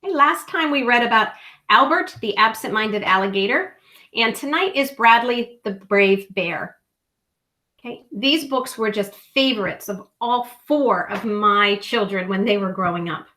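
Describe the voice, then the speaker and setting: A woman reads a children's story aloud in a high, bright voice. She goes slowly and deliberately, leaning on key words for young listeners. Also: lively intonation.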